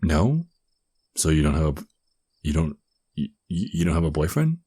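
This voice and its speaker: A young man acting a shy, flustered guy in love with his best friend in an ASMR roleplay, speaking softly and hesitantly with stammers. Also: very deep voice, very animated, measured pace, full character voice. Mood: tender, nervous. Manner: hesitant, stammering, breathy sighs and chuckles. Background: crickets chirping.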